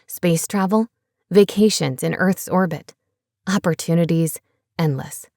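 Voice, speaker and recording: A woman reading voicing a man in an old video message, speaking slowly and reflectively. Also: fairly low pitch, a little mellow, no echo, clean studio sound. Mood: awed.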